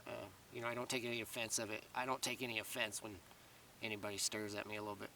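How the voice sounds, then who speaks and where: A man rambling casually to camera in a vlog, full of fillers and restarts, his voice slightly raspy. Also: lowish voice, little pitch movement, rapid-fire, sharp tone, far from the mic, slight background noise. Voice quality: slightly raspy after long talking. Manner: meandering, many fillers and restarts. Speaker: vlogger.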